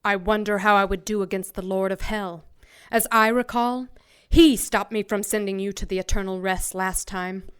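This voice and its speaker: A woman playing an ancient, proud warrior archangel in an audio drama, speaking in a grave, formal, measured way. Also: medium pitch, steady tone, smooth, close to an everyday voice. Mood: stern, defiant.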